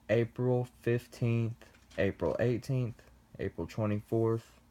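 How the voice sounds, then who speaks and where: Young male student presenter, lowish voice, slow measured read. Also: mellow. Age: young adult. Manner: slow, deliberate reading with pauses. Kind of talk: class presentation read from script.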